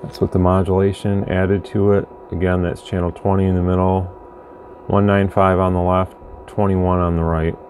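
A man's voice: low-pitched, level tone, normal speed. A man giving a casual, plain-spoken, rambling product demonstration as a channel host at his indoor workbench. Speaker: radio bench reviewer, channel host. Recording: faint background noise, room ambience.

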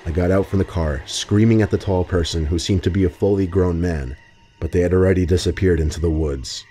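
A man narrating scripted true horror stories in a steady, even way. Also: deep voice, a little fast, a little hiss or hum. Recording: faint music under the voice.

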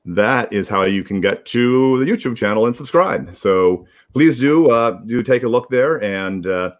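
A man's voice: mid-range voice, lively intonation, measured pace. A man in his forties, casually co-hosting a livestream on a video call. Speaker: livestream co-host. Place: indoor room at home, on video call. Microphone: video call device.

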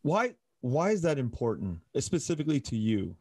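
A man hosting a podcast interview from a home office, asking calm, measured questions into a studio microphone. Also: medium pitch, no echo. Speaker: podcast host. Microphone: studio mic with headphones.

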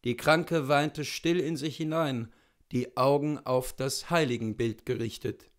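A man's voice: mid-range voice, a little slow. A man in his thirties reading a literary story aloud as a scripted narration. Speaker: literary reader. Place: small indoor room with bookshelves.

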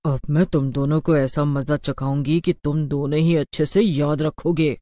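A vengeful witch ghost from a horror cartoon, acted by a man. The voice is mellow and dark, fairly high for a male, and recorded dry. The delivery is dramatic, menacing and full of accusation. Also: fairly even intonation, moderate pace, no echo, close to an everyday voice. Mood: furious. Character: vengeful female witch ghost, wronged wife. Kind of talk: animated horror story dialogue.

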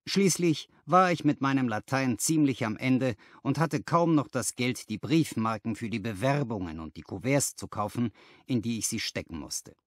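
A man's voice: mid-range voice, no room sound, clean studio sound. A man voicing a frank, rueful young London clerk telling his story colloquially in an audiobook.

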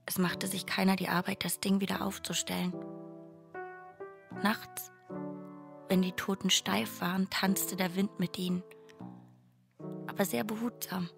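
An actress playing a wistful, earnest messenger from heaven in a radio play, reflective and measured. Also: female voice, mid-range voice, level tone, gravelly, music in the background. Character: wistful, earnest dead woman, heavenly messenger longing for love. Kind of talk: radio play acting, narration.

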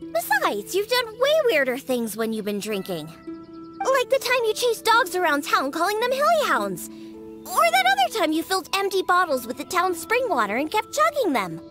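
A woman's voice: very high-pitched, huge pitch swings, moderate pace, fairly bright tone, faint music behind, heavily acted. A woman voicing a small, exasperated young cat-eared daughter in a video game, scolding and matter-of-fact. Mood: resigned, teasing.

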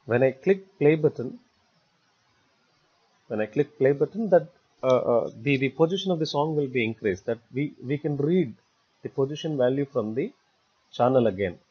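A man narrating a step-by-step software tutorial in a halting way, repeating words as he goes. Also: medium pitch, lively intonation, husky. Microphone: voice-over recorded for screen capture. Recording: dull, muffled lo-fi voice recording.

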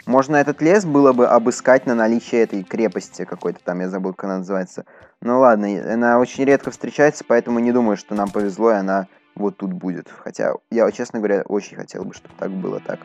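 A man's voice: on the low side, fairly quick, darkish tone, faint music behind. A man doing casual, chatty let's play commentary, talking to his viewers as he plays. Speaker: gaming YouTuber.

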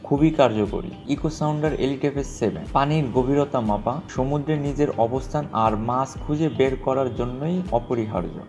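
A man's voice: medium pitch, brisk, few pauses, a little mellow. A man with a Bangladeshi accent, reading a scripted travel vlog narration as a presenter and sales representative. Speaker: travel vlogger and marine equipment sales representative. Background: music.

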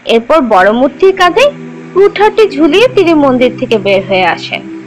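A woman playing a young cartoon storyteller who teaches children religious tales in an expressive, instructive way. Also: sits high, normal speed, a little hiss or hum. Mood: instructive, engaged.

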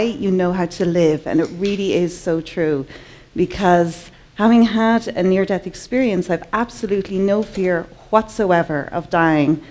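A woman in her forties with an Irish accent, giving a personal talk on stage to a conference audience, earnest and measured, slowing down on key words. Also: fairly low pitch, darkish tone. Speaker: conference speaker, artist. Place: large conference hall, indoors. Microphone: headset mic.

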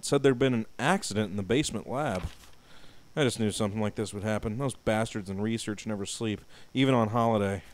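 A young man co-hosting a game playthrough, reading a diary entry aloud with mock drama and joking with his partner. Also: fairly low pitch. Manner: laughs loudly, reads the diary with mock drama. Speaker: let's play co-host.

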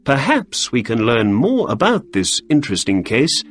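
A man's voice: mid-range voice, wildly swooping pitch, moderate pace, faint music behind. A man voicing a keen, polite male detective who asks probing questions.

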